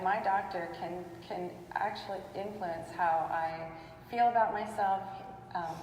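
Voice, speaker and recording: Brief: female co-presenter, lowish voice, chatty, reverberant hall from afar. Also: a little mellow, a little hiss or hum. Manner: eager, jumps in, conversational. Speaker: conference co-presenter. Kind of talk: conference talk. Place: large conference hall. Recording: echoey hall from far off.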